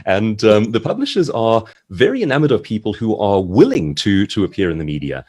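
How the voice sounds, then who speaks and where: A man with a fairly low, husky voice talks through advice in an online seminar. His intonation swings widely as he explains each point in articulate, carefully phrased conversational sentences. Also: very animated, brisk, a little mellow. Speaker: author and speaker giving a writing seminar. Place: online video-call seminar.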